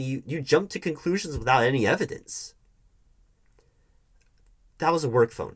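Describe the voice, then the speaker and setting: A man playing a defensive, evasive hiring manager arguing with his ex in a one-sided audio roleplay, halting and repeating his words. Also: high voice, slightly rough, pitched above the performer's own voice, heavily acted. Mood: insistent, defensive. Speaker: roleplay performer.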